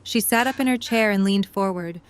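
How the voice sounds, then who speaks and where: A woman's voice: medium pitch, normal speed, slight background noise. A woman reading scripted story narration for an audio drama.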